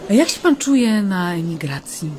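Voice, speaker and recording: An actress in a naturalistic radio drama playing a friendly Polish émigré woman, gentle, informal and slightly hesitant as she converses. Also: mid-range voice, very animated, moderate pace, slight background noise, close to an everyday voice. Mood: curious.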